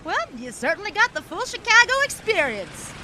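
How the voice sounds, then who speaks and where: A very high, animated young woman's voice from an actress plays a spirited 1920s socialite in an audio drama. She is theatrical and effusive, with wistfulness under the banter. City traffic is heard behind her. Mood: teasing, brisk. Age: young adult. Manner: effusive, theatrical, halting when emotional. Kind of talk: period audio drama acting.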